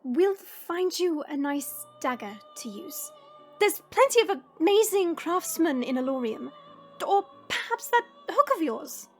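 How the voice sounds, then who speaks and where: A high-pitched, stern warrior woman from a fantasy audio drama, acted by a woman. She speaks in measured, formal phrases with blunt, dry authority and a caring core. Soft music plays behind her. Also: sing-song, full character voice. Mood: firm, earnest. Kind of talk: fantasy audio roleplay acting.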